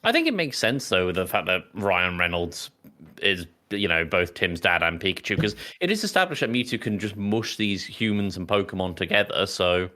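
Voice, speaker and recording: A man's voice: deep voice, sing-song, moderate pace, bright, forceful tone. A man hosting a casual film chat podcast in a jokey, storytelling manner. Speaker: podcast host.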